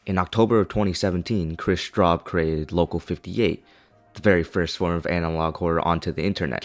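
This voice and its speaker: A man reading a scripted video essay as its narrator, with an opinionated, critical manner. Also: deep voice.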